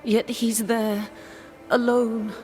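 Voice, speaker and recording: A woman's voice: fairly high pitch, flat delivery, extremely slow, darkish tone, slight background noise. An actress playing the wistful, lovelorn ghost of a woman in a dramatic video game. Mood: longing, sorrowful.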